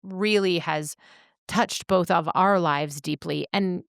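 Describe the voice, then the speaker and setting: A woman co-hosting a podcast, talking in a thoughtful, measured, reflective way. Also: on the low side, vocal fry, smooth, dry recording, studio quality. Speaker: podcast co-host.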